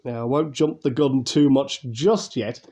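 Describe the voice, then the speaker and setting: A man talks through an unboxing in a loose, rambling way, full of ums and restarts. His voice is mellow and dark, and sounds nasal and blocked from a cold he is getting over. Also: medium pitch, a little slow, slightly rough. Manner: chatty, rambling, many fillers and restarts. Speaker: YouTube unboxing host. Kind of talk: casual unboxing commentary.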